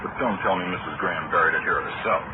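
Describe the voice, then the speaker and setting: A man's voice: low voice, rapid-fire, lightly acted. A man playing a blunt, blustery authority figure in an old radio mystery. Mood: puzzled, surprised. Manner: blunt, incredulous. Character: blustery, forceful male authority in detective mystery. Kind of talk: old-time radio drama acting. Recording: tinny vintage radio, very loud noise.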